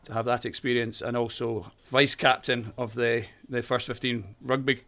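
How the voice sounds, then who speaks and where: A man's voice: mid-range voice, little pitch movement, normal speed, creaky, fairly bright tone. A man in his thirties with a Scottish accent, a teacher being interviewed and chatting in a friendly, talkative way with plenty of fillers and restarts. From Scotland. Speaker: primary school teacher. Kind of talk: conversational interview.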